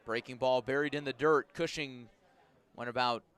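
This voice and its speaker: A man's voice: medium pitch, fairly even intonation, normal speed, a bit bright, smooth. A man doing live baseball play-by-play for radio, describing the action in clipped, descriptive phrases. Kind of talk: live radio sports commentary.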